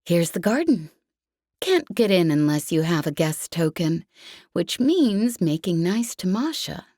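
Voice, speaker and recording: Brash female smuggler pilot acted by a woman reader, space opera audiobook. Swaggering, sardonic, low register, dry close sound. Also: sing-song, unhurried, a little mellow, dry recording. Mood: breezy. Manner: swaggering, sarcastic, casually profane.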